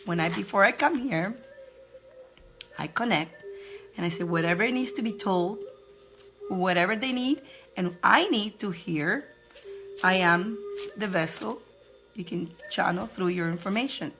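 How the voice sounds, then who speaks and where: An upbeat woman hosting a live card-reading stream in a chatty, encouraging way, greeting viewers by name and laughing often. She talks at an ordinary middle pitch and repeats her phrases for emphasis. Soft music plays faintly behind her. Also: normal speed, long pauses, rough recording.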